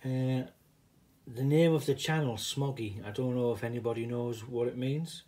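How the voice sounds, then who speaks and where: A middle-aged man from the northeast of England speaks in a Teesside accent at a medium pitch. He hosts a casual home vlog without a script, wandering off the point and back again as he goes. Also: fairly even intonation, moderate pace, warm tone. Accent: northeast English, Teesside. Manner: rambling, digressive. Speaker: video host, hobbyist coin stacker.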